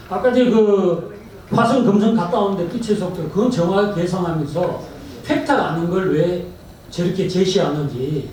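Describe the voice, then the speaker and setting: Give me a man asking an earnest question from the floor of a big hall, high voice. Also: warm tone. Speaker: audience member asking a question. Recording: echoey hall, speaker far from mic, faint noise.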